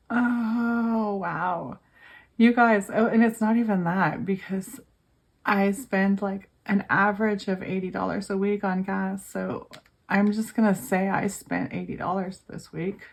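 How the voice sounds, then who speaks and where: A young woman speaks casually to camera while losing her voice to a cold. She sounds tired and a little congested, pitched in the middle of the range, and keeps addressing her viewers directly in a chatty way. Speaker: budgeting vlogger.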